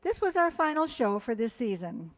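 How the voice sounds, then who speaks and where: A woman's voice: on the high side, sing-song, normal speed. A woman giving informal, rambling closing announcements and thanks to an audience as a community players' spokesperson. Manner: chatty, unscripted, rambling. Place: live before an audience.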